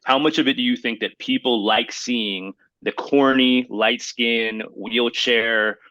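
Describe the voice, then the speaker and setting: A man's voice: medium pitch, fairly even intonation, takes it slowly, sharp tone, dry recording. A man hosting a casual hip-hop podcast debate, speaking in an emphatic, slangy way and repeating himself for emphasis. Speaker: podcast host.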